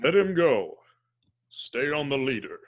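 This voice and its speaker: A man playing a menacing dark lord commanding fighter pilots in a script read, on a dull muffled microphone. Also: medium pitch, very animated, unhurried. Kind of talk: dramatic table read.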